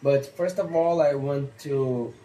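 A man in his thirties with a Brazilian accent, a stock dog trainer explaining training basics in halting, earnest English, seated on an outdoor patio. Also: medium pitch, mellow, echoey room, slight background noise, low-quality recording. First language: Portuguese. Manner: halting, earnest, non-native phrasing with fillers. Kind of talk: instructional talk to camera.

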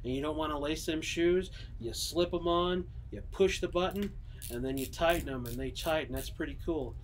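A young man casually showing bowling shoes in a small pro shop, relaxed and full of ums and uhs, heard from a camera a few meters away. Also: a little high, moderate pace, slight background noise. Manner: easygoing, many ums and uhs, showing items in hand. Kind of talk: casual product walkthrough. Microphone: camera mic at a few meters.

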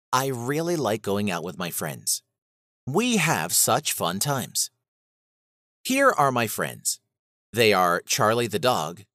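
Animated man narrating a children's storybook. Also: medium pitch, dry recording, clean studio sound. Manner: expressive read-aloud.

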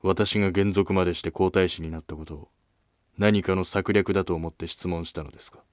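Guarded former-monk prince acted by a man, deep dry male voice, formal and curt, historical drama. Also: steady tone, fairly quick, a little mellow, no room sound, natural voice. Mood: guarded, stern. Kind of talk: scripted historical drama dialogue.

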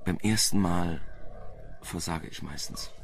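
Flirtatious investigator, low voice, gravelly, sardonic, crime radio drama. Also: male voice, measured pace, a little hiss or hum. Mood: teasing, flirtatious. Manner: sardonic, teasing. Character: flirtatious wry male investigator, teasing and talkative. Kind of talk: German crime radio play acting.

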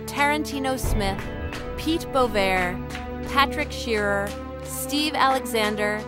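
A woman reading scripted credits as an announcer. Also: on the high side. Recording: loud music under voice. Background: music.

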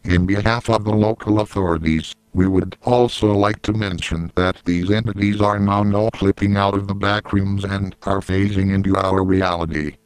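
A man playing a grave, impersonal emergency alert announcer in an analog horror broadcast, formal and deadpan. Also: fairly low pitch, normal speed, hardly any pauses, clear, resonant tone, no room sound, natural voice, poor audio.

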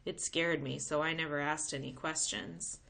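A woman reading the part of a folksy, gently firm grandmother in a children's storybook. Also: sits low, fairly even intonation, moderate pace, gravelly, heavily acted. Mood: uneasy. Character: warm, weary grandmother telling of old times.

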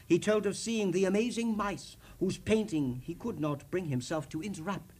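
A man hosting as storyteller, reading a children's storybook expressively with theatrical, dramatic pauses. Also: sits high, a little mellow, slightly rough. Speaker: storyteller, TV host.

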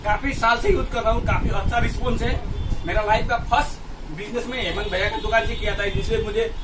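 A man's voice: high-pitched, fairly even intonation, brisk. A man in his thirties from India giving a casual product review to camera, explaining and often checking that viewers follow. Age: 30s. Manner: explanatory, repeatedly checking with catchphrases like a tag question. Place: small cluttered sound-equipment shop, indoors. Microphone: camera or phone mic a short distance away. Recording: noisy shop recording, distant mic.

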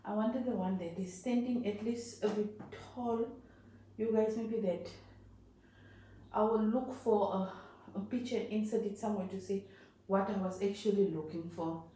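A woman's voice: mid-range voice, steady tone, moderate pace, dark tone, a little hiss or hum. Chatty Namibian woman in an echoey room. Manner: rambling and chatty, talks to viewers as she works. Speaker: vlogger. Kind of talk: casual home vlog chat. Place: tiled bathroom at home. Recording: distant, very echoey home room.

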